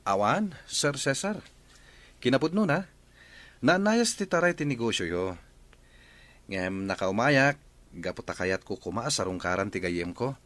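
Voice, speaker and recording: Man voicing polite young man, lively intonation, deferential. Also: mid-range voice, a little slow, pauses often. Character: polite young man, respectful family friend.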